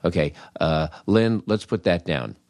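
An older American man hosting a humorous storytelling monologue, wry and animated, acting out dialogue with dramatic pauses. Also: low-pitched, dry recording. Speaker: podcast host, comedy writer.

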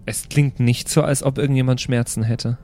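A man's voice: mid-range voice, fairly even intonation, normal speed, a little mellow, soft background music. A man playing a tabletop role-playing game, improvising in character and debating in a hesitant, argumentative way.

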